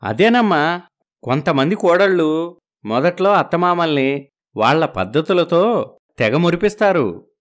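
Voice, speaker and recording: A man's voice: a little high, sing-song, a little slow, pauses often. A man playing a middle-aged father-in-law in a story, teasing and questioning in a familiar way. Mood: probing.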